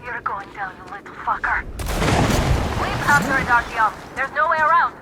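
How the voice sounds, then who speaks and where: An actress playing a tough, sardonic young sniper in a game, curt and commanding. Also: female voice, fairly high pitch, expressive, moderate pace, natural voice. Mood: tense urgent. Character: tough sardonic young female sniper in post-apocalyptic Moscow. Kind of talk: video game voice acting. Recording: noisy game battle ambience.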